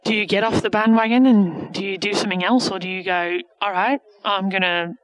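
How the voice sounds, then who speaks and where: A woman in her late twenties from England, with an English accent softened by Australian traces, chatting frankly as a footballer being interviewed. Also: mid-range voice, fairly even intonation, fairly quick, no echo, rough recording. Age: 20s. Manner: chatty, frank, many fillers and restarts. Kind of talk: casual interview answers.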